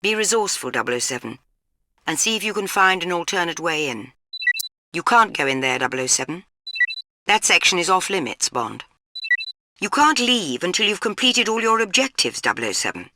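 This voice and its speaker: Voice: mid-range voice, very animated, normal speed, sharp tone, a little hiss or hum. A performer playing a stern, authoritative spy-agency superior who gives firm orders in a video game. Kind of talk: video game mission direction.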